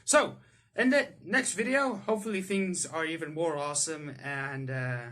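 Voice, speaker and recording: A young man hosting a gaming video, reading the game's text aloud and chatting about it with restarts and quick laughs. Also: on the high side, fairly bright tone. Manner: chatty, self-correcting, breaks into laughs and reactions. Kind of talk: let's-play reading game text aloud with comments.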